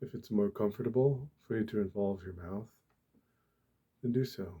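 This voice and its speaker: A man speaking softly and deliberately, with long pauses, guiding a meditation in a gentle ASMR style. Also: on the low side, breathy edge. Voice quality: soft, hushed. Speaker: ASMR host, meditation guide. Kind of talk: soft-spoken ASMR guided meditation.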